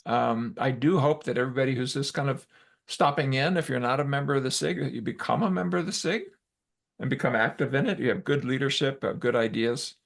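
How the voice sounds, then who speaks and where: A man's voice: mid-range voice, a little fast, gravelly. A male guest speaker giving an earnest, reflective talk over a video call.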